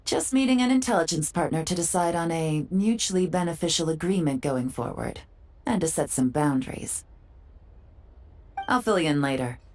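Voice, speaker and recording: Casting: urbane female spy for a video game, lower register, unhurried, self-assured, playfully teasing. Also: expressive, a little mellow. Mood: businesslike. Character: poised, urbane female intelligence agent, relaxed and teasing. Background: background music.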